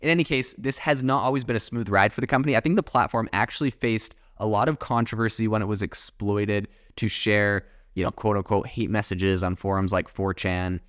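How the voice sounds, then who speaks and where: A man's voice: lowish voice, steady tone, fairly quick, few pauses, fairly bright tone, no room sound, clean studio sound. A young man hosting a solo podcast, chatting casually in a rambling way with many fillers and asides. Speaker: podcast host.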